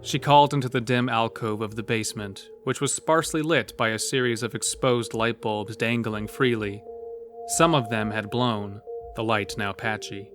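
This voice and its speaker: A man's voice: fairly low pitch, fairly even intonation, moderate pace, soft background music. Man narrating a scripted horror story.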